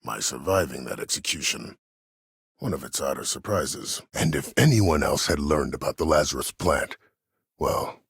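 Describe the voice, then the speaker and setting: An older man acting a video game role: a cunning, proud old disgraced admiral who speaks in a measured, formal, husky voice with quiet menace. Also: animated, no room sound, studio quality, exaggerated voice. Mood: defiant, measured. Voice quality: husky, very deep. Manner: measured, formal, self-assured. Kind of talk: video game character dialogue.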